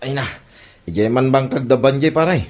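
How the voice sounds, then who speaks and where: A man's voice: mid-range voice, brisk, a little mellow, natural voice. A man with an Ilocano accent playing an industrious, good-natured village farmer in a comedy drama. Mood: earnest. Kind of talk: comedy radio-style drama acting.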